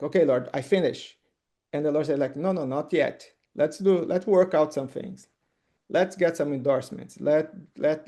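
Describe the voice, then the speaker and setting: Mellow middle-aged man, native Portuguese speaker. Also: on the high side. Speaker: author giving a testimony. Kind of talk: informal personal testimony.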